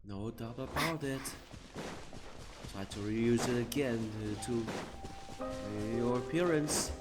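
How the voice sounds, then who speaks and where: A man's voice: mid-range voice, slow pace. A man giving casual gameplay commentary in short remarks with non-native phrasing. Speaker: gamer reacting to a story quest. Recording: game music behind the voice. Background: video game music.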